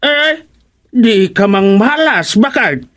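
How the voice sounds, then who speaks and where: A man's voice: very high-pitched, lively intonation, slow, fairly bright tone, exaggerated voice. An actor with an Ilocano accent playing an eager elderly village man in a radio comedy drama, drawling and exclamatory. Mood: impatient. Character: eager elderly village man, seniors' club presidency hopeful.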